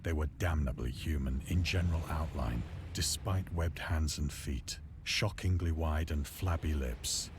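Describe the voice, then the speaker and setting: A haunted horror game narrator, really deep, solemn n deliberate, watery noise behind him. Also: male voice. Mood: awed horror. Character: haunted, awestruck man recounting an ancient horror. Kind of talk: slow literary horror narration, audiobook-like.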